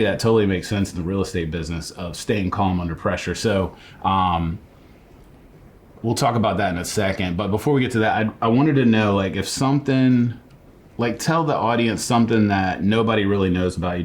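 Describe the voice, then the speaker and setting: A man's voice: deep voice, brisk. A man hosting an interview podcast, friendly and conversational, teasing his guest now and then. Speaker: podcast host. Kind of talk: casual interview chat.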